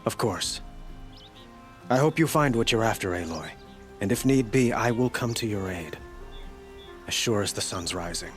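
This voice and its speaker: An actor playing a noble, gracious ruler with a formal, earnest manner in a cinematic video game. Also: male voice, on the low side, lively intonation, moderate pace, soft background music, natural voice. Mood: gracious, wistful.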